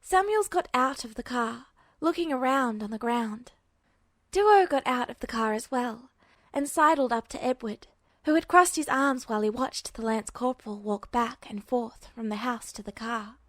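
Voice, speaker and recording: A woman's voice: a little high, normal speed, no echo. A woman reading scripted fiction aloud as an amateur audiobook narrator.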